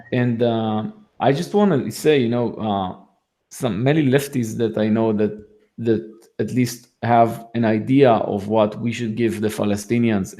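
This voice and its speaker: A man with an Israeli accent talks through his points in a casual podcast chat, in a voice of middling pitch. He stumbles and restarts often, leaning on fillers as he goes. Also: warm tone. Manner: halting, many restarts and fillers, laughs between points. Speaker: guest.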